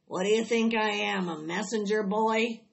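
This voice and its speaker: A woman voicing a sullen, grumbling male rat in a storybook, gruff and blunt. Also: mid-range voice, expressive, moderate pace, lo-fi sound, close to an everyday voice. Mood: indignant, grumbling.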